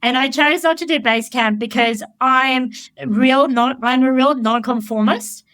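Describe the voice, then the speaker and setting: A woman's voice: high-pitched, unhurried, no echo. A woman life coach talking in a casual interview, candid and blunt, swearing freely, and telling her stories with enthusiasm. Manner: enthusiastic, animated storytelling. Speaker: life coach, podcast guest.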